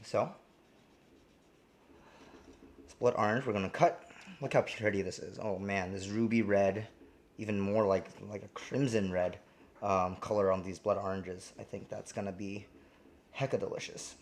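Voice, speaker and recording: Chill guy from jersey cooking on stream, lowish voice, lots of ums. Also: fairly even intonation, normal speed, a little mellow, a little hiss or hum. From New Jersey, US. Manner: easygoing, rambling with many ums and restarts. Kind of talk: casual live cooking demo, reading chat.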